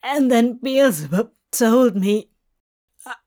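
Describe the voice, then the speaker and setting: A woman voicing a brash, anxious male demon who swears, delivered louder and rougher than her narration, in a slow audiobook reading. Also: fairly high pitch, expressive. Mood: emotional.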